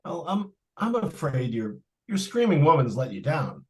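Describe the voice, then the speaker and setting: A man's voice: on the high side, big pitch swings, moderate pace, darkish tone. A man playing a patronizing, skeptical father in an old-time radio drama, with indulgent, slightly stammering delivery. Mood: indulgent, doubtful. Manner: hesitant stammers, indulgent, dismissive. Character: patronizing, skeptical middle-aged father of a young girl. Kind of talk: 1940s radio drama acting.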